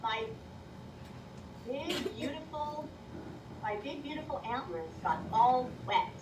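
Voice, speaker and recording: Storybook moose, played by a woman, proud and wowed, expressive read-aloud delivery; distant mic, clearly noisy room. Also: high-pitched, slow, echoey room, voice pushed up, exaggerated voice. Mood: amazed. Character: big cartoonish moose with proud antlers, exclaiming, wowed. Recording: noisy classroom, distant microphone.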